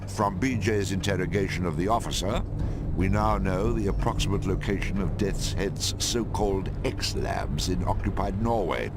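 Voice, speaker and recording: An actor playing a senior wartime intelligence chief in a video game, deliberate, formal and dryly understated. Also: male voice, low-pitched, animated. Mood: wry. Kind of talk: video game cutscene acting. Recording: loud music behind the voice.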